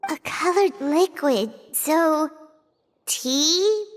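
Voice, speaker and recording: A woman voicing a tiny, excitable, childlike companion in a video game, squeaky and bubbly with exaggerated delivery. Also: drawn-out words, long reverb tail, full character voice. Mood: excited, curious. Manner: bubbly, exaggerated, refers to self by name. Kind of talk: video game character acting.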